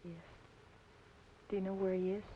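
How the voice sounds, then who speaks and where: A woman's voice: medium pitch, level tone, moderate pace, slight background noise, close to an everyday voice. A young woman playing an earnest, lovesick runaway with a plaintive manner, in old film noir style. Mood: downcast, earnest. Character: lovesick, naive young runaway girl hoping to sing. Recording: dull vintage film audio, music behind.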